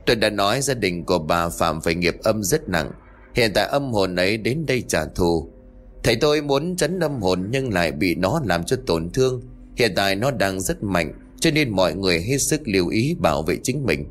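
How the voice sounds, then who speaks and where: A man's voice: low-pitched, animated, moderate pace. A man voicing a grave male exorcist who solemnly warns a family of danger. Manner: solemn, warning.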